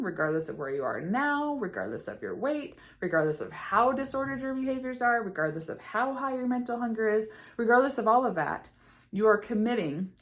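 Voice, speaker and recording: A woman recovery coach talking casually to camera, her voice slightly hoarse as she loses it, earnest and full of fillers and restarts. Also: medium pitch. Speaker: eating disorder recovery coach.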